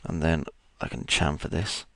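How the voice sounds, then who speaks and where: A man with a croaky voice, casually talking through each step of a 3D modeling tutorial as he works. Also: a bass voice, steady tone, moderate pace, fairly bright tone, no room sound. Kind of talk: screen-recorded software tutorial.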